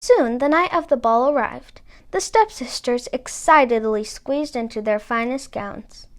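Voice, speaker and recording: A woman narrating a fairy tale as a storybook reader, with expressive delivery. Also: on the high side, unhurried.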